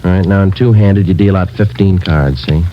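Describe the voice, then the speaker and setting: An actor in a 1950s radio drama playing a calm police sergeant who talks in a clipped, matter-of-fact way. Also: male voice, deep voice, normal speed, a little hiss or hum, natural voice. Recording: dull lo-fi old radio broadcast with music.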